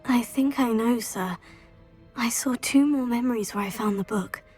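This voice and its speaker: A polite, inquisitive schoolgirl witch in a game. She speaks in a fairly high, measured, respectful voice over faint music. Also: darkish tone, husky. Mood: matter-of-fact. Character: polite, inquisitive teenage girl student of wizardry. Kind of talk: video game cutscene acting.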